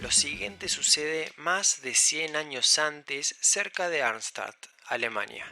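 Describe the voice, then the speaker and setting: A man's voice: on the high side, animated, takes it slowly. A man with a Latin American accent narrating a scripted comedic story as a podcast host. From Argentina. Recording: narration over music bed.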